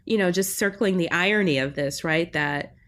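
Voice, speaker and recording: Guest academic, woman, lowish pitch, reflective, keeps checking in with listeners. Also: normal speed, fairly bright tone. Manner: reflective, often checks in with "right?". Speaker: linguistics professor, podcast guest. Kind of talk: academic interview talk.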